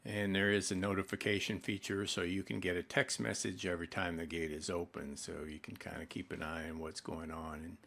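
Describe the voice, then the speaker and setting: A man narrating an informal product demonstration in a conversational, explanatory way, pausing mid-sentence. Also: sits low, vocal fry, a bit bright. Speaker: product presenter for an online retailer.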